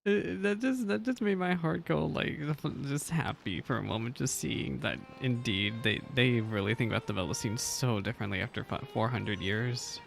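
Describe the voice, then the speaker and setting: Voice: medium pitch, normal speed, vocal fry, few pauses, soft background music. A streamer giving casual reaction commentary during a game playthrough.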